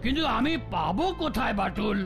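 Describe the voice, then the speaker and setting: A man voicing a bossy, easily exasperated older gentleman coach in an animated cartoon. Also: high-pitched, a little fast, gravelly, over music. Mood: earnest, insistent. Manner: bossy, scolding, repeats words when flustered.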